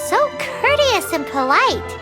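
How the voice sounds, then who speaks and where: A woman voicing a small, childlike, cheeky fairy companion in a video game, with a squeaky voice and blunt, chatty manner. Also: big pitch swings, slow pace, full character voice. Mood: suspicious, cheeky. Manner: refers to self by name, blunt. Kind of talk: video game character acting. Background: game music.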